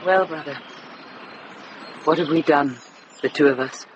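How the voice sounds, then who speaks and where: An actor in a radio drama playing a solicitous, reflective companion to a monk, speaking in a measured, thoughtful way. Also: male voice, fairly high pitch, slight background noise, lightly acted.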